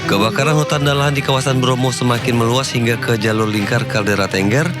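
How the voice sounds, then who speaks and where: A man's voice: medium pitch, steady tone, quick pace, over loud music. A man narrating a scripted news report as a reporter's voice-over.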